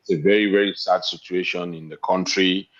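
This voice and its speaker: A man in his fifties with a Cameroonian accent, talking politely and haltingly as an interview guest. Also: low-pitched, steady tone, crowd noise. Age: 50s. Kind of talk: casual interview talk. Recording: dull, lo-fi internet call audio.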